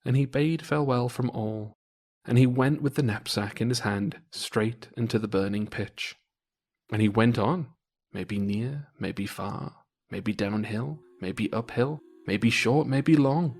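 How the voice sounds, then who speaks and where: A man reading a scripted folk tale as a storyteller, measured and old-fashioned. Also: medium pitch, darkish tone. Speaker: storyteller, podcast host. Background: music.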